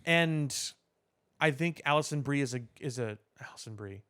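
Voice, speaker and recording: A man co-hosting a podcast, talking casually about pop culture in halting, deliberate, explanatory phrases. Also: mid-range voice, a bit bright, clean tone. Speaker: podcast co-host.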